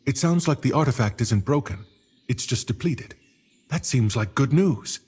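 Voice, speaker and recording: Husky, cautious druid. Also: male voice, mid-range voice, lively intonation, normal speed, a little mellow. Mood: calm, curious. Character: wise, cautious male druid, a loyal old friend. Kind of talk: fantasy game cutscene acting.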